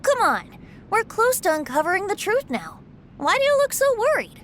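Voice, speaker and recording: Playful young female game character: high voice, wildly animated pitch, theatrical teasing, faint noise behind. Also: normal speed, heavily acted. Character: playful, teasing, drink-loving young woman. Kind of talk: anime game voice acting.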